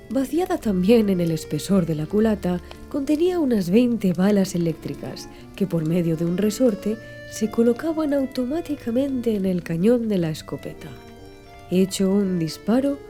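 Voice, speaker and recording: A woman's voice: mid-range voice, animated, moderate pace, mellow, faint music behind. A woman reading a scripted audiobook narration.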